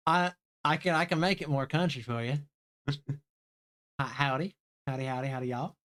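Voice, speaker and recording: A man with a light Southern accent chats on a live game stream in a slightly high voice. He rambles, trips over his own sentences, laughs a lot and swears freely. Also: fairly even intonation, a little slow, pauses often, fairly bright tone. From Southern United States. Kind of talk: casual live-stream chat, reading game dialogue aloud.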